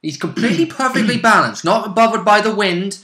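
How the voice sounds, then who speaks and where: A man with a slightly high, bright and forceful voice chats about a tabletop game he plays in. His delivery is casual and conversational. The recording has a clear echo from the room. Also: lively intonation, a little fast. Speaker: player co-hosting a game recap. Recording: echoey room.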